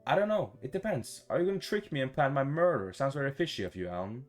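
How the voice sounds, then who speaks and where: A man voicing a shy, rambling young visual novel protagonist in a halting, awkward way. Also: mid-range voice, expressive, voice pushed up, lightly acted. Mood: curious, teasing. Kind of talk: visual novel dialogue read-aloud. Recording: music behind the voice.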